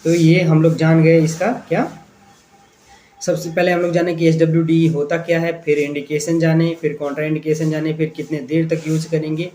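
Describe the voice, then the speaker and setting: In a noisy, echoing room, an Indian-accented man teaches in a slightly high voice. He lectures informally, step by step, often asking a question and answering it himself. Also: level tone, normal speed, warm tone. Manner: explains step by step with rhetorical questions and repetition. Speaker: physiotherapy instructor and channel host. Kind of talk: informal spoken lecture to viewers. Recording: echoey room, clear background noise.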